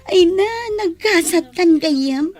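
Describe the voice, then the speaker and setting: A woman's voice: very high-pitched, takes it slowly, a little mellow. A woman playing a sly confidante who eggs on her scheming friend in a radio drama. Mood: gleeful, sly.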